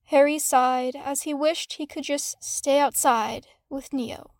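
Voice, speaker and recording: A woman's voice: sits high, steady tone, slow, a little mellow. A woman reading a story aloud as a scripted fan fiction narrator. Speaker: audiobook narrator.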